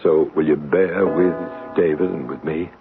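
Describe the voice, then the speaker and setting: A man playing a gentle, assured male psychic medium in a 1970s radio drama, explaining patiently. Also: very deep voice, steady tone, unhurried, over music. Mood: reassuring. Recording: narrow radio broadcast with faint hiss.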